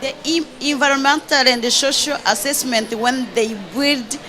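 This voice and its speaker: A woman asking an impromptu question from the audience at a panel event, in a halting way. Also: high-pitched, steady tone, sharp tone. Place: conference pavilion with small audience.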